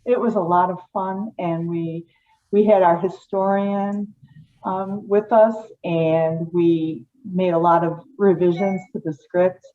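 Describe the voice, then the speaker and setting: Low-voiced woman on a muffled video call. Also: fairly even intonation, slow. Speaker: member of an amateur play company. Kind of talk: casual recollection on a video call. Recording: dull, noisy video call audio.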